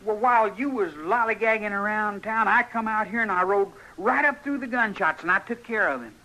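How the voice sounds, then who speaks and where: A very high-pitched, piercing teenage boy in a vintage radio western. He speaks in an unschooled rural Western drawl, brash and stubborn, with fear under the defiance. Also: brisk, sharp tone, low-quality recording. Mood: boastful. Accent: rural American Western drawl. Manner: defiant, unschooled country speech. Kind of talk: old-time radio western acting.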